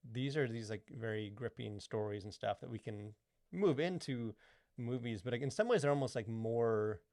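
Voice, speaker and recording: A man's voice: mid-range voice, moderate pace, darkish tone, no room sound, studio quality. A man co-hosting a podcast in casual chat, rambling with lots of "like" and "you know". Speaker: podcast co-host.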